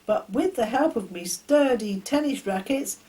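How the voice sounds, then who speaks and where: A woman's voice: on the high side, expressive, unhurried, mellow, voice pushed up. A woman voicing a hearty, amused village postman for a children's story, with folksy, proud, colloquial speech.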